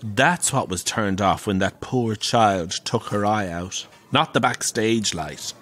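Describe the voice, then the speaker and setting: A camp middle aged irish panto dame telling spooky theatre stories, dry and theatrical, audio drama. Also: male voice, fairly low pitch, takes it slowly, fairly bright tone. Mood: wry, breezy. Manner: theatrical, wry, relishing the tale.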